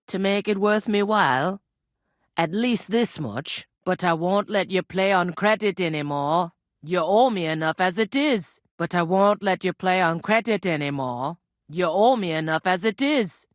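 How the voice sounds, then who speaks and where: Low-pitched female voice, medieval tavern innkeeper, blunt, folksy, scolding yet fond, video game dialogue, dry close recording. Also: measured pace, a bit bright, dry recording. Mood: scolding, haggling. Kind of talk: scripted game character dialogue.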